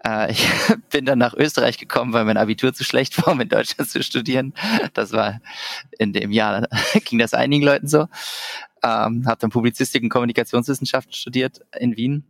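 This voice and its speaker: A man's voice: medium pitch, fairly even intonation, brisk, vocal fry, fairly bright tone, no echo. A man in his thirties from Germany, talking casually as a podcast guest, with many fillers and restarts. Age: 30s. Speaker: podcast guest, company founder. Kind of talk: casual interview talk.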